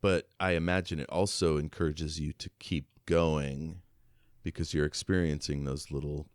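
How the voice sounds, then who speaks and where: A man's voice: rumbling low, steady tone, normal speed, no echo, clean studio sound. A man co-hosting a podcast, talking through a book in a casual, reflective, conversational way. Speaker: podcast co-host.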